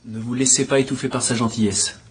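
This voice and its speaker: A man's voice: mid-range voice, fairly even intonation, quick pace, background noise, close to an everyday voice. A man playing a courteous young inspector. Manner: courteous, warm, respectful. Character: polite young male police inspector. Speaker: police inspector. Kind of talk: dubbed drama acting.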